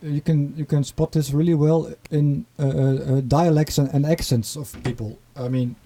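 Halting Dutch-accented man, dark voice. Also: a little high, fairly even intonation. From east of the Netherlands. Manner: hesitant, halting with many fillers. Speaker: guest. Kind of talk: casual conversation.

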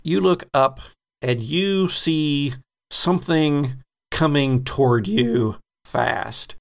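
A man narrating a tabletop horror game as game master, in slow, deliberate descriptions broken by chuckles. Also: medium pitch, vocal fry.

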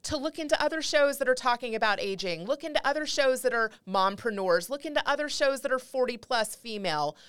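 A woman's voice: high-pitched, expressive, brisk, few pauses, fairly bright tone, clean tone, no echo. A woman guest on a podcast giving advice in a coaching manner, asking strings of rhetorical questions. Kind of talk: advice-giving interview talk.

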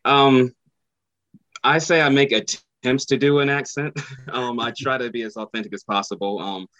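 A man's voice: mid-range voice, steady tone, normal speed, a bit bright, no echo, highs cut off. A man, an actor, answering interview questions casually and hesitantly, full of fillers. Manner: hesitant, many fillers like "you know". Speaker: actor guest.

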